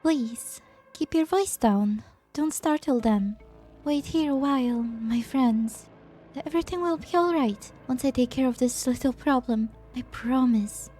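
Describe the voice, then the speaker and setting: A high-pitched, softly spoken woman acting as a lady scientist. She is elegant, formal and earnestly self-righteous, in a game fan dub with faint music behind her. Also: unhurried. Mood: soothing.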